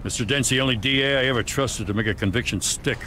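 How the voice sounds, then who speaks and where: An American actor playing a middle-aged, dutiful police officer in a video game, measured and matter-of-fact. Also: male voice, mid-range voice, bright, forceful tone, slight background noise, natural voice. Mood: matter-of-fact, grave. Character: plain-spoken, dutiful police officer serving a warrant. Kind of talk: dramatic game dialogue.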